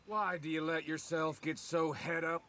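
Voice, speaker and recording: A man's voice: on the high side, steady tone, normal speed, fairly bright tone, a little hiss or hum, close to an everyday voice. A man playing a touchy, threatening outlaw in a video game, combative in manner. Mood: irritated, threatening.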